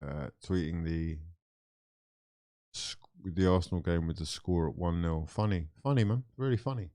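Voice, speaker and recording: A man with a low, dark voice hosts a live football stream, trading sarcastic, swear-laden banter with callers. The sound is dry and close to the mic. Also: moderate pace, no room sound. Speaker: watchalong stream host. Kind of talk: casual chat with callers.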